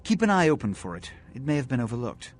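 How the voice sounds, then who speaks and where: An actor playing a haughty, scheming vampire prince in a video game, speaking in a formal, commanding, theatrical way. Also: male voice, medium pitch, very animated, fast, soft background music, close to an everyday voice. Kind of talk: video game character acting.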